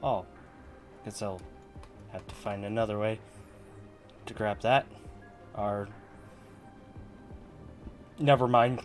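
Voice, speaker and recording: Streamer, casual gameplay commentary: very slow, sparse delivery, mid pitch with lively swings, faint music. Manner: sweary, muttered offhand remarks. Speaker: game streamer.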